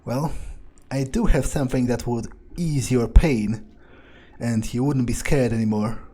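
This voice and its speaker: A man voicing a cold, aloof vampire in an in-character game playthrough, measured and sardonic, as if musing to himself. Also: medium pitch, dark tone, close to an everyday voice. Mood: sardonic, predatory. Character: cold, aloof male vampire, darkly dismissive.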